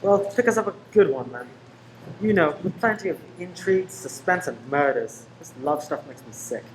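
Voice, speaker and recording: A woman's voice: lowish voice, expressive, normal speed, noisy background, natural voice. A woman playing a cheeky, chatty undercover detective posing as a greengrocer's man in an amateur radio play. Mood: breezy.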